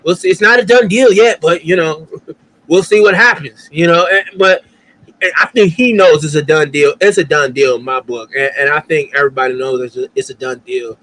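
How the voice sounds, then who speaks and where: A man's voice: fairly high pitch, normal speed, fairly bright tone, low-quality recording. A man from the Cleveland area co-hosting a sports podcast, explaining points in an easygoing, agreeable way. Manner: explanatory, agreeable, laughs often. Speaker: podcast co-host. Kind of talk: casual sports chat.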